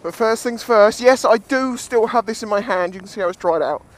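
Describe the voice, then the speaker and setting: A man's voice: high voice, a little fast. A British man giving casual, jokey, sweary commentary as he vlogs outdoors on a seashore. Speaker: beachcombing vlogger.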